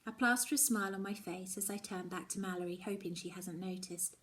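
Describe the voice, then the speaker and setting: A woman reading a children's novel aloud in the first person, with expressive, dramatic emphasis. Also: mid-range voice, a little fast. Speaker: storybook reader.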